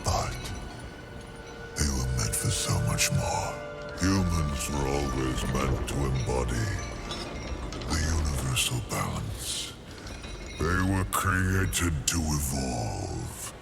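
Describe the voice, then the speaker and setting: Abyssal, gravelly ancient otherworldly lord. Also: male voice, sing-song, full character voice. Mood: solemn, earnest. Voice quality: very deep, gravelly. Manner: grave, deliberate, theatrical, formal diction. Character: ancient, solemn otherworldly lord, once a councillor. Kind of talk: video game cutscene acting. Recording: loud music behind the voice. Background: loud music.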